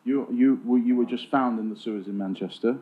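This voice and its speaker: A man's voice: medium pitch, a little fast. A man interviewing in an unscripted, joking style, teasing and bumbling as he goes, with muffled phone-like sound.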